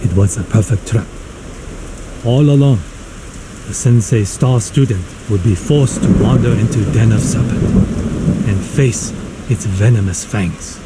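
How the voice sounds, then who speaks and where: An actor playing a grave storyteller, narrating karate rivalries in a solemn, measured, dramatic scripted style for a video game. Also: male voice, fairly low pitch, very noisy, lightly acted.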